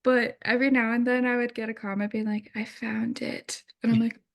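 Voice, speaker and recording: A woman's voice: on the high side, little pitch movement, fairly quick, creaky. Young woman chatting casually, full of likes. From Wisconsin. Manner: casual, many likes and ums, acts out quotes. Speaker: illustrator guest. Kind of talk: casual interview chat.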